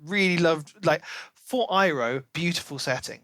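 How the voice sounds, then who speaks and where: A man co-hosting a casual book podcast, giving opinions in an animated, emphatic way with sarcastic asides. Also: a little high, a little slow, no echo. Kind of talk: casual book review chat.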